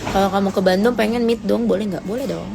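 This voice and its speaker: A woman's voice: fairly even intonation, quick pace, gravelly, music in the background. A teenage girl hosting a casual phone livestream, reading comments and teasing her viewers playfully. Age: teens. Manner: teasing, playful, rises high when mock-outraged. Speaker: livestream host.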